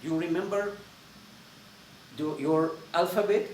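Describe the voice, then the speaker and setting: Syrian guy w arabic as first language giving a halting informal lecture, kinda high voice, mic far off. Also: fairly even intonation, darkish tone. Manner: halting, repetitive phrasing with searching pauses. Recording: roomy echo, distant mic, faint noise.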